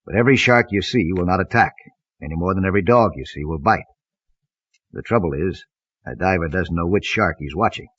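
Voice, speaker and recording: A man with a husky voice reading scripted documentary narration. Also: low voice, brisk, far from the mic, lo-fi sound, noisy background.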